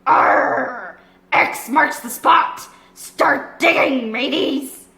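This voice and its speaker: A roguish, scheming pirate man, put on by a woman narrator, growling and drawing out his words with bold swagger. The storybook voice is forceful and bright, in a slightly echoey room. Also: sits high, drawn-out words, gravelly, full character voice. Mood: scheming, gruff. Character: roguish one-legged male pirate cook, bold and scheming.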